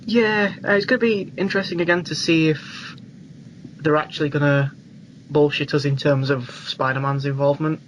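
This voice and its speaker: A man's voice: on the high side, fairly even intonation, moderate pace, rough recording. A man co-hosting a podcast, giving his opinions in casual conversation. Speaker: podcast co-host. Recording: clear background noise.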